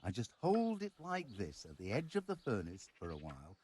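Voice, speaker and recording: A man's voice: on the low side, wildly swooping pitch, brisk, darkish tone, a little hiss or hum. A man acting a proud, earthy village blacksmith in a medieval video game, blunt and folksy in his speech. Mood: frank, reflective. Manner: blunt, sweary, folksy turns of phrase.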